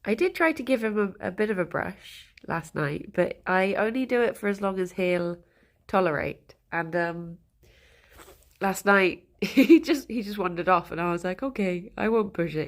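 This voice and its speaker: A woman's voice: medium pitch, expressive, measured pace. A woman with a stuffed-up nose, chatting casually with her live chat, greeting viewers by name and giggling often. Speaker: streamer.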